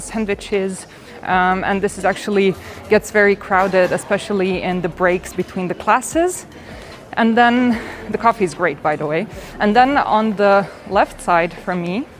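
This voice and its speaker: A woman's voice: mid-range voice, slight background noise. A woman, presenting a campus tour in an upbeat, welcoming manner as a student guide. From Serbia. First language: Serbian. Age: 20s. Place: university campus, outdoors and in large halls.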